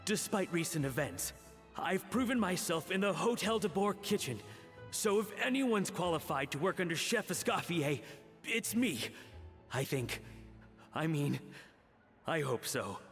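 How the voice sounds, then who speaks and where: A man's voice: sits high, very animated, a little slow, a bit bright, soft background music, exaggerated voice. A man voicing an earnest, humble middle-aged father and chef in a video game, apologetic and unsure of himself. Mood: apologetic resolve.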